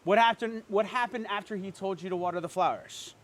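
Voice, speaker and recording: Man playing a high-pitched, cocky, joking twin brother. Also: brisk, natural voice. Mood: insistent, needling. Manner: teasing, flippant. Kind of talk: amateur dramatic script reading.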